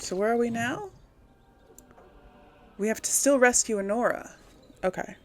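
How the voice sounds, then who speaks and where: A woman's voice: on the high side, normal speed, a little hiss or hum. A woman giving casual, chatty let's play commentary, thinking aloud and asking herself questions as she goes. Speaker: video game streamer and series host.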